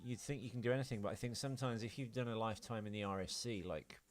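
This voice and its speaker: A man's voice: lowish voice, steady tone, fast talker. A man co-hosting a podcast in casual film chat, rambling through opinionated film-buff explanations, with soundtrack music behind him. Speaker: podcast co-host. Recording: music playing behind the talk.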